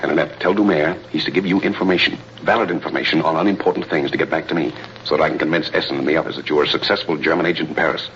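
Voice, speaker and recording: An actor playing a grave, devoted spy who poses as a military colonel, speaking in measured 1930s radio melodrama style. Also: male voice, extremely low pitch, steady tone, a bit bright. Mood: grave, tender. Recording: muffled, noisy old radio broadcast.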